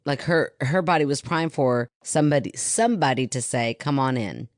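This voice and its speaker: A woman with a very deep voice co-hosting a casual podcast, teasing and skeptical, swearing casually. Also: expressive, moderate pace, dry recording. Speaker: podcast co-host. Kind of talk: casual banter and reading listener letters.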